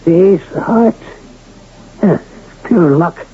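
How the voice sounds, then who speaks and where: An actor playing an ailing, gruff, luckless gambler rancher in a radio drama, blunt and folksy. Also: male voice, sits high, takes it slowly, full character voice. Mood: wary. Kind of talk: 1980 radio drama acting. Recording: dull, noisy vintage radio broadcast.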